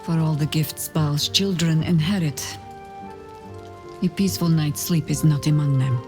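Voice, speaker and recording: An actor playing a solemn, protective companion in a fantasy video game, speaking in a measured, earnest, thoughtful way. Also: mid-range voice, fairly even intonation, a little mellow, soft background music, natural voice. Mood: probing, grave. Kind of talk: dramatic video game dialogue.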